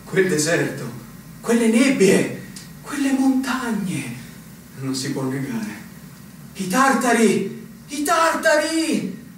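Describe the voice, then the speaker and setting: An actor playing a resigned, wistful older army captain in a radio drama, with theatrical swells. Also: male voice, very high voice, wildly swooping pitch, slow, pauses often, very echoey, far from the mic, a little hiss or hum, heavily acted, low-quality recording.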